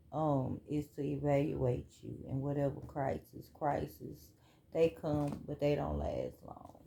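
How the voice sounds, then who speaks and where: Slow, gravelly-voiced woman reading cards. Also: deep voice, a little hiss or hum. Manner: relaxed, chatty, reads cards aloud. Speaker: spiritual card reader. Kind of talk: casual card reading vlog. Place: home room.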